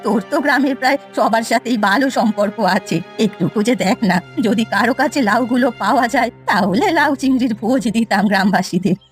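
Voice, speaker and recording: A woman's voice: on the high side, fast talker, hardly any pauses, faint music behind, close to an everyday voice. A woman voicing a stingy, repentant old village granny in a cartoon story, plaintive and affectionate in manner. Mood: pleading. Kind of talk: Bengali cartoon story voice acting.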